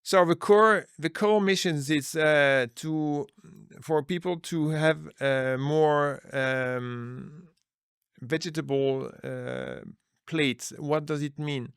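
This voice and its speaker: Earnest man with a gravelly voice. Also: a little high, slow pace, a bit bright, dry recording, studio quality. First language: Dutch. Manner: earnest, searching for words, many restarts. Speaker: business manager, interview guest. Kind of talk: conversational interview answers.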